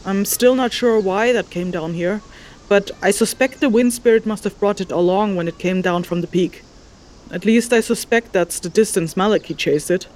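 An actress playing a practical, slightly exasperated scholar-investigator in a fantasy audio drama, speaking in a dry, matter-of-fact manner with hesitant asides. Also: female voice, mid-range voice, moderate pace, darkish tone, lightly acted. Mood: methodical. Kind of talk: immersive fantasy audio drama, spoken journal.

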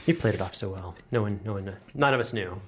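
A man's voice: lowish voice, quick pace, husky. A man hosting a casual group chat in a jokey, encouraging way. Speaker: host and game master of an audio drama show.

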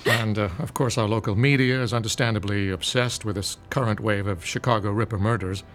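A man's voice: lowish voice, steady tone, fairly quick, a little hiss or hum, natural voice. An actor playing an articulate man who explains grim news in a scripted audio drama. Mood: matter-of-fact.

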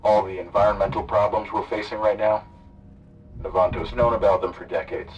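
A sardonic, menacing hijacker. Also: male voice, on the low side, a little fast, husky, recorded from a distance, dull audio. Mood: earnest. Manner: calm, sardonic, persuasive, turning preachy. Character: smooth male eco-activist hijacker, menacing. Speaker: radio drama character.

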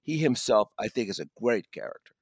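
Male reviewer in conversation, lowish pitch, very dry sound. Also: expressive, a little fast, breathy edge, dry recording. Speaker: audiobook reviewer and podcast guest.

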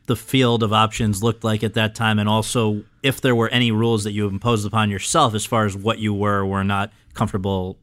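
A man's voice: on the low side, little pitch movement, fairly quick, few pauses, a bit bright. Man asking long, careful interview questions. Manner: long, carefully built questions, short backchannels.

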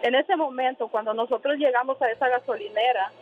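A woman's voice: sits high, a little fast. Woman on a tinny phone line. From Honduras. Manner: hesitant, filler-heavy telling with quoted dialogue. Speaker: radio call-in listener. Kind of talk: unscripted personal storytelling. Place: in a car while driving. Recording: tinny noisy phone call.

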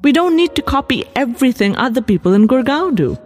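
A woman's voice: high voice, big pitch swings, fast talker, a little mellow, voice pushed up. A woman reading the part of a practical, chiding wife in a short story, in a matter-of-fact way, over music. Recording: music behind.

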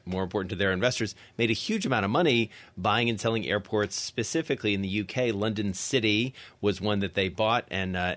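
A man's voice: on the low side, fairly bright tone, no echo. A man hosting a business radio show, wordy and playful, with some hesitations, speaking in a studio. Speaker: radio host.

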